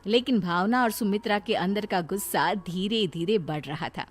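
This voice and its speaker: A woman's voice: mid-range voice, normal speed. A woman narrating a scripted moral story as the storyteller. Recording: music bed behind voice.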